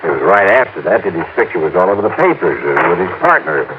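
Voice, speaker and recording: An actor playing a chatty, folksy sporting goods shopkeeper in a 1950s radio drama, talking in a familiar, drawn-out way. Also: male voice, low voice, big pitch swings. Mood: knowing, curious. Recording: tinny, noisy old radio recording.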